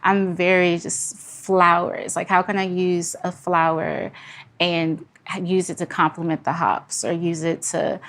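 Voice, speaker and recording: A woman speaks as a podcast guest in a slightly low voice. Her delivery is informal and candid, like casual chat with the hosts. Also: little pitch movement, unhurried, fairly bright tone. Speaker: podcast guest, brewery founder.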